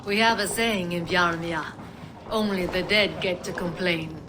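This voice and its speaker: A woman's voice: fairly low pitch, measured pace, background noise, lightly acted. A woman playing a stoic, loyal ally from the Viking age in a dramatic game cutscene. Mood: earnest.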